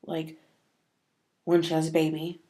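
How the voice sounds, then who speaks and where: A woman's voice: sits low, monotone, measured pace, mellow. Sniffly woman rambling in a vlog. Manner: rambling, lots of fillers like "like" and "um". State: sniffly with a cold. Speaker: vlogger. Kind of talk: casual opinion vlog.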